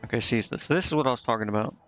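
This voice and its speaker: A man's voice: mid-range voice, very fast, fairly bright tone. A man doing casual live game commentary as a streamer, hesitantly thinking aloud to himself and reading on-screen text out. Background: game music.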